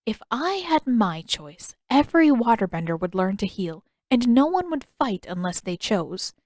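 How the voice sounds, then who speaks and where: A woman voicing a kindly older healer in an audiobook story, with a measured, patient and gently questioning way of speaking. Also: a little high, very animated, no echo, studio quality. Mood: earnest, grave.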